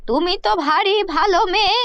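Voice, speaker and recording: Cartoon old beggar granny played by a woman, very high-pitched, slow and plaintive delivery, dry close sound. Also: fairly bright tone, no echo. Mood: grateful, grumbling. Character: old beggar woman, hungry, testing people, grateful.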